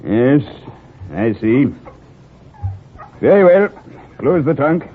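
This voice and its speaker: A man acting an officious, easily flustered customs officer in a 1950s radio drama, animated and blustering. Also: medium pitch, slow pace. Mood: stern. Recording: muffled, noisy 1950 radio recording.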